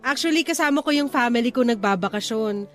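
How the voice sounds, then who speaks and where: A woman acting as a cheerful, sociable office worker reunited with an old sweetheart. She has a high voice and a Filipino accent, played radio-drama style over soft music. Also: animated, brisk, close to an everyday voice. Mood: chatty, relaxed.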